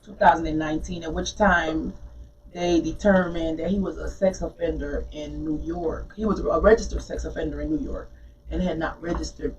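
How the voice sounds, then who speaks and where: A woman's voice: deep voice, steady tone, moderate pace, a little hiss or hum. A woman from Costa Rica co-hosts a live talk show, chatting through news items in a conversational way. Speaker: co-host. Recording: distant, dull live-stream audio.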